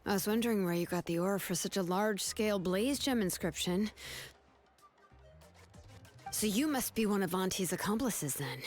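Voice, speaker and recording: A woman voicing a relaxed, tactful young female adventurer in a video game. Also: mid-range voice, normal speed, long pauses, soft background music, natural voice. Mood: casual.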